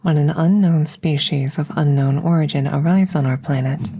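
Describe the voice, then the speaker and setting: Alien xenobiologist for ASMR audio roleplay female performer: low, mellow, hushed, formal and curious, close-miked over faint noise. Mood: measured, explanatory. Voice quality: soft, breathy close whispering. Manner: measured, halting, formal, clinical phrasing. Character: female alien scientist, clinical, curious, calm examiner. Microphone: close-up microphone.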